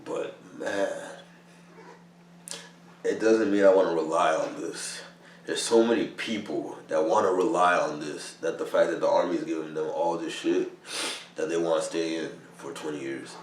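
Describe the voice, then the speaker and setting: A man's voice: low voice, fairly even intonation, moderate pace, echoey room, recorded from a distance, a little hiss or hum. A man in his thirties from New York, a soldier casually rambling in slangy talk with lots of "bro" and swearing. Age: 30s. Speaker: vlogger, army soldier. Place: army barracks room.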